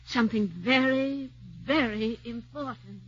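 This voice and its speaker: An actress playing a fond, doting elderly wealthy woman who pleads gently, in 1940s radio acting. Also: a little high, a little slow, husky. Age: older. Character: fond elderly rich spinster. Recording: dull 1940s radio broadcast.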